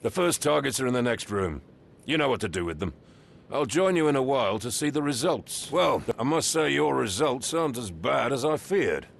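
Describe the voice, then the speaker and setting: Gravelly, scornful drill sergeant for a game, lively delivery, faint rumble. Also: male voice, moderate pace. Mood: stern. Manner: scornful, commanding. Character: gruff stern drill sergeant, older man. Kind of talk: video game voice acting.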